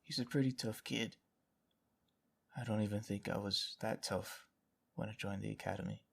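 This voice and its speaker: A troubled young guardsman, gravelly and low. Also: steady tone, normal speed, fairly bright tone. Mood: subdued, protective. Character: stubborn but protective young male guardsman, troubled. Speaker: voice actor in an audio drama. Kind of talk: dramatic audio fiction acting.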